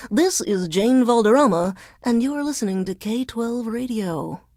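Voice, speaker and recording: Grudging news reporter stuck djing on game radio, lively swooping high voice, dramatic and dry humored, formal newscaster style. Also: female voice, a little slow, dry recording. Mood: theatrical, announcing. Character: female radio news reporter forced to DJ, dryly resentful. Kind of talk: scripted radio DJ links in newsreader delivery.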